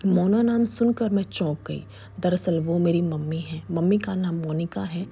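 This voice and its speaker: A woman tells her story in a low, husky whisper, with a gravelly fry under the breath. The tone is dark and close, like a secret shared. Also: normal speed. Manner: hushed and confiding. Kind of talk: first-person story narration.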